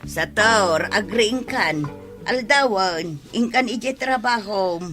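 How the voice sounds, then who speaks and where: A woman's voice: mid-range voice, animated, slow, music in the background. A woman playing a fussing, candid elderly mother in a radio drama, coaxing and scolding expressively. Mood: nagging, concerned. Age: older.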